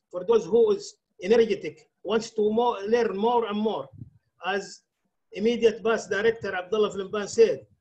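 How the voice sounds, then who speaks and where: A man whose first language is Arabic, giving a halting speech as a club director at an online meeting, often restarting his words. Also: high voice, darkish tone, dull audio. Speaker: club program quality director.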